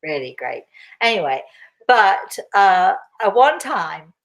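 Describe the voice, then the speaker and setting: A middle-aged woman, a host with a croaky voice, chatting casually and rambling with many restarts as she answers viewer questions. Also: mid-range voice, big pitch swings, slow pace, a bit bright. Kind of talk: casual live chat.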